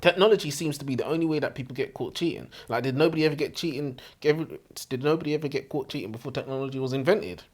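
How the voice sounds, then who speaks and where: A man's voice: mid-range voice, very fast, few pauses, darkish tone. A man co-hosting a book podcast in casual chat, blunt and slangy, repeating points for emphasis. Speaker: book review podcast co-host. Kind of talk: casual opinionated book discussion.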